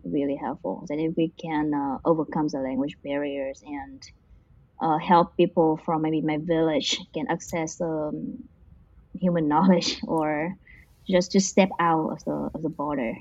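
A woman's voice: deep voice, warm tone, breathy edge, no room sound. A woman from Vietnam, an interview guest working in machine learning, answering casually and hesitantly, with many fillers and restarts. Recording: dull muffled lo-fi audio.

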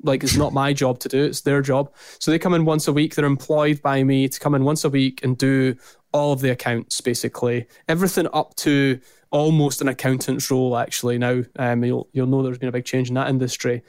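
A Scottish man in his thirties with a smooth, crisp voice, speaking casually as a guest over a remote call. He strings long lists together in a loose, chatty flow. The sound is close and dry, with no room around it. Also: medium pitch, fairly quick, dry recording. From Scotland. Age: 30s. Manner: rambling, list-heavy, lively. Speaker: podcast guest, business founder. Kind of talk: casual interview talk. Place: his office.

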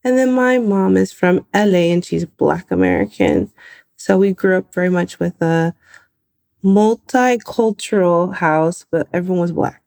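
A woman in her twenties from California, telling her own story in a lively, animated way. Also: lowish voice, measured pace, gravelly. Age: 20s. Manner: lively, animated retelling with laughs. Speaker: guest. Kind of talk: personal storytelling.

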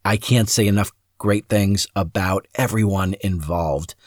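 A man's voice: low voice, steady tone, a little slow, no echo, studio quality. A man hosting a podcast, speaking enthusiastically and appreciatively to his listeners in an introduction. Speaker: podcast host. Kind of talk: scripted-sounding podcast introduction addressed to listeners.

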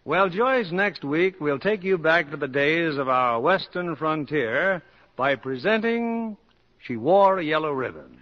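A man's voice: on the high side, animated, a little slow. A man hosting a 1950s radio theatre show in a polished, courteous showman style. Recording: dull 1950s radio broadcast recording.

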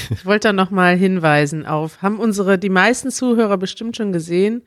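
A woman's voice: medium pitch, normal speed, no room sound, clean studio sound. A woman co-hosting a podcast in casual chat, chatty and laughing often. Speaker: podcast co-host.

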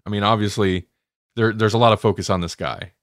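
A man's voice: lowish voice, fairly even intonation, fairly quick, fairly bright tone, no echo, studio quality. A man hosting a podcast, chatting casually as he reviews stories. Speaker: podcast host.

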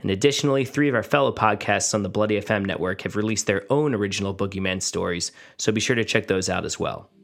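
A man introduces a podcast episode as its host. His voice is on the low side, and he reads from a script. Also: quick pace, vocal fry, hardly any pauses.

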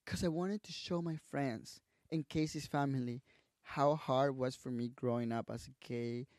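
A man's voice: mid-range voice, fairly even intonation, normal speed, darkish tone, no echo, clean studio sound. A young man from Mexico with a Mexican Spanish accent, speaking English as a second language, telling his story candidly and emotionally as a podcast guest, swearing freely and calling the host "bro". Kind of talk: casual storytelling interview.

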